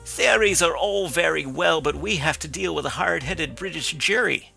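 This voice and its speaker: A man's voice: on the high side, moderate pace, gravelly, sharp tone, faint music behind, pitched above the performer's own voice, heavily acted. A man reading an audiobook, voicing a skeptical and blunt police inspector who asks doubting questions. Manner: doubting, practical, dismissive questions.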